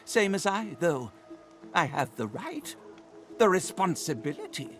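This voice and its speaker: Halting, pleading dreamer, swooping pitch. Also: male voice, huge pitch swings, fairly bright tone, faint music behind, full character voice. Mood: nervous, defensive. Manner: halting, pleading, broken phrases. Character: desperate, groggy lizard dreamer pleading his cause. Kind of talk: dramatic video game voice acting.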